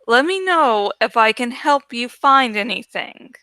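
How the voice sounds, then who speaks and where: A woman's voice: fairly high pitch, lively intonation, measured pace, bright, forceful tone, no room sound, pitched below the performer's own voice. A woman voicing a crazed, lost old woman who hoards things in a shack, in an audiobook reading. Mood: lost, confused. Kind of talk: audiobook character acting.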